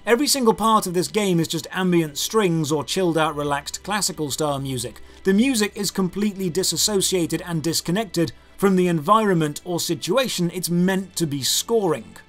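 A man's voice: high-pitched, moderate pace, few pauses, a bit bright, faint music behind. A British man in his thirties narrating a scripted game review in a sardonic, critical manner. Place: small room lined with game shelves.

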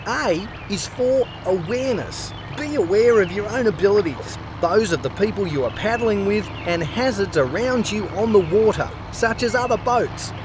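A man's voice: sits high, noisy background. A man voicing a cheerful, friendly cartoon koala mascot with an Australian accent, giving scripted safety advice in an upbeat, instructive way. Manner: upbeat, instructive, clearly enunciated. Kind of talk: scripted children's-style safety narration.

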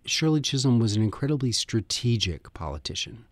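A man hosting a radio program, reading a scripted introduction and asking questions in a measured, deliberate way. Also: medium pitch, sing-song, no echo. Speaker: radio host. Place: radio studio.

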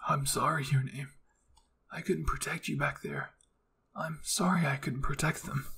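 A man's voice: fairly high pitch, steady tone, unhurried, creaky, pushed and bright, slight background noise, full character voice. A man voicing an anguished, devoted young male cadet, earnest and urgent. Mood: guilty, tender.

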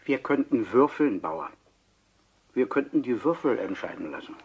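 An actor playing a coolly persuasive, insistent man in a radio play, measured and coaxing. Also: mid-range voice, sing-song, husky. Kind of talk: German radio play acting. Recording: dull, muffled tape sound.

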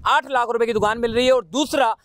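A man in his thirties from Pakistan hosting a property show, giving a brisk promotional pitch outdoors at a construction site with a handheld mic and pressing the builder with questions. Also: steady tone, fairly bright tone, dry recording. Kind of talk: on-location property interview.

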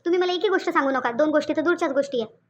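A woman's voice: high voice, racing, lo-fi sound, natural voice. A woman voicing a stubborn, complaining old village grandmother in a cartoon, with a rustic Varhadi Marathi accent and a repetitive, grumbling manner. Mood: irritated, stubborn. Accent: Varhadi, Vidarbha Marathi. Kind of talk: cartoon dialogue.

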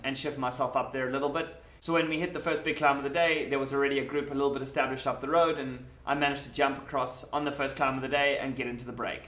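A young man sits in a small hotel room and talks through a race day in a relaxed, matter-of-fact way, with a few small restarts. His voice is bright and forward. The camera in front of him picks up a roomy echo. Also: mid-range voice. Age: young adult. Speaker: pro cyclist vlogger. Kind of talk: casual race recap to camera. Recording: echoey room.

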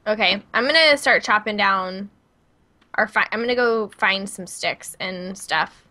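Bright-voiced Midwestern American woman. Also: medium pitch, measured pace. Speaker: gaming streamer. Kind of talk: casual co-op gameplay chat.

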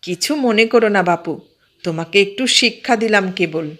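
Bossy, practical young woman, voiced by the female narrator; brisk, scolding, a notch higher than the narration. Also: fairly bright tone, natural voice. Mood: firm. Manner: brisk, scolding, raised pitch. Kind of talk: audiobook character voice.

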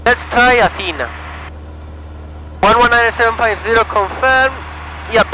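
A man's voice: very high voice, normal speed, pushed and bright. A Greek-accented man who is a pilot, chatting casually over the intercom of a small plane. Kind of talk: casual cockpit chat. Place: small aircraft cockpit in flight. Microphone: aviation headset. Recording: noisy cockpit intercom, engine drone.

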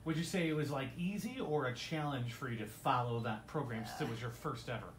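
A man's voice: mid-range voice, fairly quick. A man co-hosts a radio interview and asks attentive follow-up questions. His voice sits mid-range, heard in a roomy studio with a faint hiss. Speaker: radio co-host. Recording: echoey studio, light noise.

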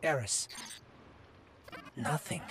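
An actor playing a small, earnest, anxious robotic companion, speaking expressively and thoughtfully in a game. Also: male voice, very slow, slight background noise. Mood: uneasy, pensive. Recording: loud music and game sound behind.

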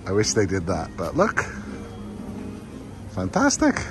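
A man's voice: low-pitched, wildly swooping pitch, slow, music in the background. A man narrating a walking tour of a food court, exclaiming with enthusiasm over the dishes. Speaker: vlogger touring a food court. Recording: noisy outdoor street recording.

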